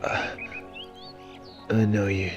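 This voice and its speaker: A man playing a dying, resigned marine captain in an audio drama, his voice strained and halting. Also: lowish voice, level tone, highs cut off, music in the background. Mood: pained, resigned.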